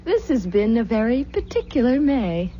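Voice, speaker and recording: An actress playing a tender, anxious young newlywed wife in a radio drama, soft and earnest. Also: female voice, on the high side, very animated, moderate pace. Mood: fond, playful. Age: young adult. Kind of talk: 1970s radio drama acting. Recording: dull, noisy old radio recording.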